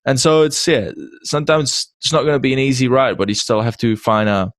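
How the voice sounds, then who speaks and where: A man's voice: mid-range voice, steady tone, brisk, no room sound, clean studio sound. A man hosting a casual interview, often repeating and restarting phrases as he searches for words. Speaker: podcast host.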